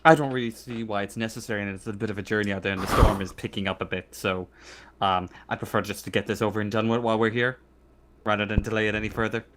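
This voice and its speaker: A businesslike woman who runs colony affairs in a sci-fi tabletop game, played by a man in a lowish voice. Polite yet insistent, speaking in hesitant bursts, with faint noise behind. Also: level tone, quick pace, lightly acted. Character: brisk, businesslike female colony official.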